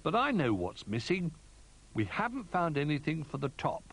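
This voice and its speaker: A man's voice: on the high side, very animated, normal speed, a bit bright, breathy edge, pitched above the performer's own voice, exaggerated voice. A man voicing a fussy, practical, doubtful toy duck in a children's storybook. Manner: fussy, muttering.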